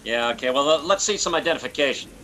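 A man's voice: medium pitch, animated, fast, sharp tone, a little hiss or hum. A man playing an easygoing but officious motorcycle cop in an old-time radio suspense drama. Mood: official, easygoing. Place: indoors at home on a video call.

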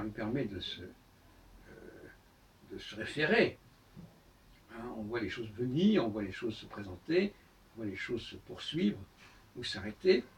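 An older man giving a solo talk to camera in a book-lined room, explaining step by step with many pauses and restarts. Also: mid-range voice, recorded from a distance, a little hiss or hum. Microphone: far camera on a tripod.

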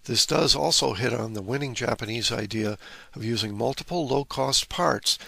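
A man giving a casual, colloquial technical explanation as host of a repair video, talking haltingly. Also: mid-range voice, gravelly, sharp tone, breathy edge, dry recording.

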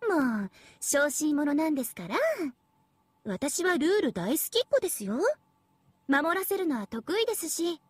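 A young female AI devil girl for an anime game. The voice is very high, sweet and girlish, with a dry studio sound. She is smug and playful, talking down to people with mock politeness. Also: big pitch swings, measured pace, dry recording. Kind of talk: Japanese anime game voice acting.

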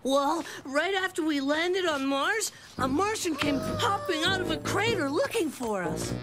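A woman's voice: extremely high pitch, lively intonation, measured pace, exaggerated voice. A woman voicing a bossy, blustering little cartoon boy who leads his gang, in a boyish voice. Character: bossy, chubby little boy, self-styled gang captain. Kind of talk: children's cartoon voice acting. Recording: loud music behind the voice.

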